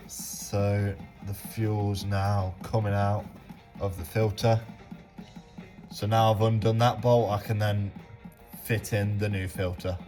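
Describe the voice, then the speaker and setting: A man with a bit of a cold explains a car repair step by step, often stopping to correct himself. His voice sits low and he speaks slowly. Faint music plays underneath. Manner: halting, self-correcting, steady walkthrough. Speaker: home mechanic. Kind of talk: casual step-by-step repair commentary.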